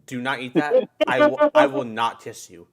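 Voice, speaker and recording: A man's voice: mid-range voice, huge pitch swings, moderate pace, a crowd in the background. A man hosting a live stream with casual, profane group banter and crude joking.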